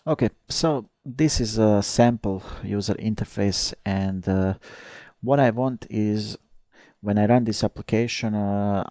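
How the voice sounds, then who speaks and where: A young man from Croatia, a native Croatian speaker, talking through a software screencast step by step with frequent "uh" fillers. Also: on the low side, unhurried, dry recording. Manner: hesitant, many "uh" fillers, step-by-step narration. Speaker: software tutorial presenter. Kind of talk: screencast tutorial.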